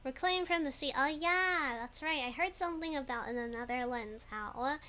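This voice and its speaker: A woman's voice: sits high, normal speed, pushed and bright, a little hiss or hum. A woman commenting casually on a video game, giggling often and hesitating a lot. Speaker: game streamer. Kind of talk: casual let's play commentary.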